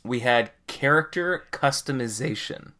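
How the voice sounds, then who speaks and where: A man's voice: medium pitch, expressive, a little slow, noisy background. A man hosting a podcast, chatting casually about a game in a rambling, enthusiastic and emphatic way. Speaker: podcast host.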